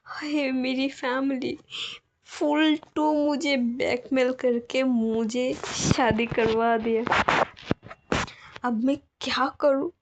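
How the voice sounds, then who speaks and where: A woman voicing a reluctant alpha spouse who is exasperated yet apologetic and gentle. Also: high voice, flat delivery, slow, lo-fi sound, a little hiss or hum.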